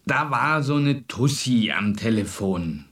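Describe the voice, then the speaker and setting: A man's voice: mid-range voice, animated, slow, fairly bright tone, recorded from a distance. An actor playing a cocky, crude male suspect in a radio crime drama.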